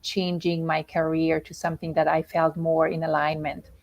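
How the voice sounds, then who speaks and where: A woman's voice: low voice, flat delivery, normal speed, muffled recording. A middle-aged woman from Italian-speaking Switzerland with a Swiss Italian accent, speaking as a guest coach over a video call with many ums and restarts. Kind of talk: livestream interview.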